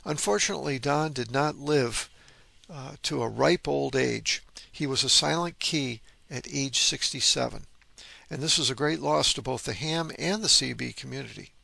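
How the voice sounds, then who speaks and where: A man with a gravelly, creaky voice narrates informally as the host of a hobby channel. He sounds keen on his subject and drops in wry asides. The sound is dry, with no room echo, and his pitch sits in an average male range. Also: moderate pace, sharp tone. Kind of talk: informal narration on vintage radio gear.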